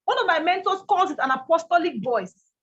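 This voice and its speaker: A young Nigerian woman speaks with a loud, strong voice that sits high in her range. She talks emphatically, hammering her points by saying them again. The recording is dull and lo-fi. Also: lively intonation, fairly quick. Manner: emphatic, repeats key lines. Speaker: motivational speaker. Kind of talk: motivational talk.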